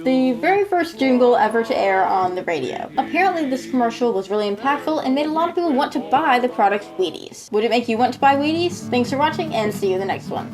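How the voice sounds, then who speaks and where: A woman's voice: a little high, darkish tone. Chatty woman hosting a reaction video. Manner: chatty, wry asides while reading sources aloud. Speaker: video host.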